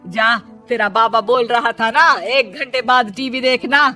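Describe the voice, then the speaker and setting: Woman playing a loud, drawling, nagging mother-in-law. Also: a little high, steady tone, measured pace, soft background music. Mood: scolding. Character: nagging middle-aged mother-in-law, exasperated and bossy.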